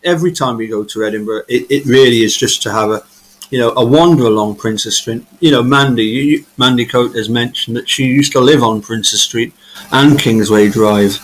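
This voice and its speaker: A man's voice: mid-range voice, moderate pace, rough recording. A man in his thirties hosting a casual live chat interview, stumbling and repeating words as he builds his questions. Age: 30s. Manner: hesitant, repeats words while asking questions. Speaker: host.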